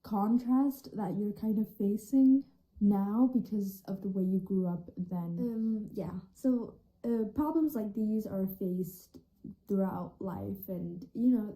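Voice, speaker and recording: Very mellow, soft-toned teenage female student. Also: medium pitch, poor audio. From Denmark. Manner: reflective, casual youthful phrasing with frequent "like". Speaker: high school student. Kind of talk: personal account to camera.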